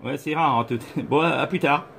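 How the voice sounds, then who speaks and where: A man's voice: a little high, fairly quick, gravelly, heavy background noise. A man, a radio operator, chatting casually on a two-way radio contact from indoors at home.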